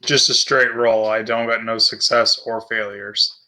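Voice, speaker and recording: A man's voice: lowish voice, little pitch movement, a little slow, bright, forceful tone, low-quality recording. A man chatting casually as a player in a tabletop role-playing game. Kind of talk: casual chat during a game.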